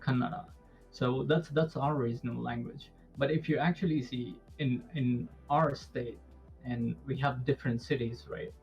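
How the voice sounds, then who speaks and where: Guest, Indian-accented young man, gravelly voice, lo-fi line, patient explainer. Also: medium pitch, steady tone, moderate pace, a little mellow, soft background music. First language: Urdu. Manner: explanatory, with repeated "actually" and "right?". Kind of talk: casual interview. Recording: dull lo-fi sound.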